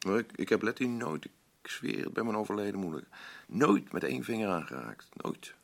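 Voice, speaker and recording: An actor playing a blunt, rambling working-class husband in a radio drama, boastful, self-pitying and defensive. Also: male voice, on the low side, big pitch swings, a little fast, gravelly, exaggerated voice. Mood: defensive, brooding. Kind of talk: naturalistic radio drama dialogue.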